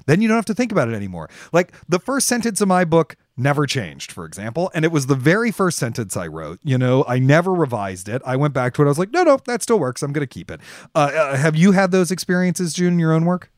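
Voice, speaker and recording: A man's voice: a little high, no room sound, studio quality. A man co-hosting a podcast, talking casually and emphatically about creative work, with informal and sometimes salty language. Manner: emphatic, informal, mildly profane. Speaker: podcast co-host and writer.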